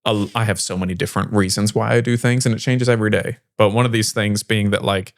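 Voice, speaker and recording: An American man with a fairly low voice hosts a casual podcast conversation. He talks in a joking, self-deprecating way. The sound is dry, with hardly any room echo. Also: steady tone, fast. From small-town Missouri, United States. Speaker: podcast host.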